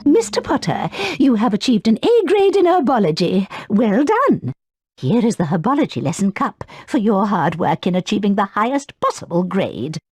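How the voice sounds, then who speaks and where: A woman playing a brisk, encouraging schoolteacher witch who gives formal instructions in a video game. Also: mid-range voice, sing-song, darkish tone, natural voice. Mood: instructive, approving.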